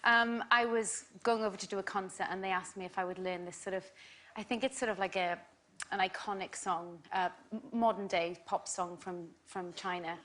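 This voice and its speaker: A woman's voice: mid-range voice, steady tone, moderate pace, a bit bright, a little hiss or hum. Chatty Welsh woman on a talk show. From Wales. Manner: chatty, laughs easily, many ums. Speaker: singer, talk show guest. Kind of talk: casual chat. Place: TV studio with audience.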